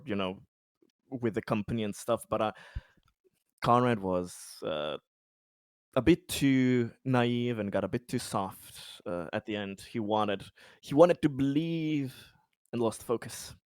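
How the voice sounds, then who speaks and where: A man's voice: medium pitch, expressive, unhurried, smooth, no room sound, clean studio sound. A man chatting casually as a player in a tabletop game, informal and sweary, often repeating his words.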